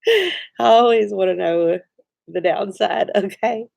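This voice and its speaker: A woman's voice: medium pitch, slow, gravelly, darkish tone, no echo. A woman with a stuffy nose, chatting casually and giggling as she reads viewer comments on a live stream.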